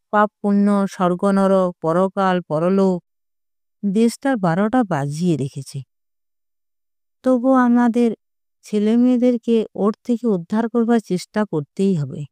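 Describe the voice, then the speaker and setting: A woman's voice: medium pitch, lively intonation, measured pace, warm tone, clean tone, no room sound, studio quality, close to an everyday voice. A woman storyteller voicing a stern, indignant young mother, lecturing emphatically in an audio story.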